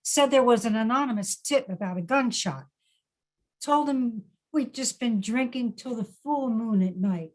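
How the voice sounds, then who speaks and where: Actress as an exasperated, worried best friend in a screen drama, slightly high voice with drawn-out, urgent delivery. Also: female voice. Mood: anxious. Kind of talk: screenplay table read acting.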